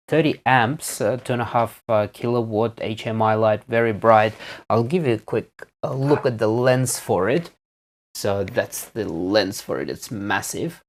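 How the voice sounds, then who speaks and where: Man casually demonstrating gadgets, halting delivery. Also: mid-range voice. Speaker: presenter reviewing electronics on video. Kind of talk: casual hands-on product demonstration.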